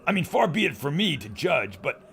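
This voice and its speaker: A man playing a brash, boastful movie producer in an old-time radio adventure, raspy and theatrical like a salesman. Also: a little high, big pitch swings, fairly quick, pushed and bright, slight background noise, full character voice. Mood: wheedling, scheming.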